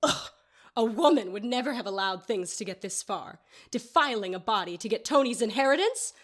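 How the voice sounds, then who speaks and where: A prim, haughty older lady in an audio drama, acted by a woman, with a fairly high voice. She speaks formally and scolds like someone easily offended. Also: animated, moderate pace, fairly bright tone. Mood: indignant.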